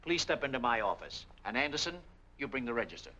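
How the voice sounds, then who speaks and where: A man's voice: mid-range voice, big pitch swings, fast, pushed and bright, low-quality recording. A man acting in a 1940s film as a firm, businesslike hotel manager with a clipped, commanding manner, on an old film soundtrack. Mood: stern, in charge.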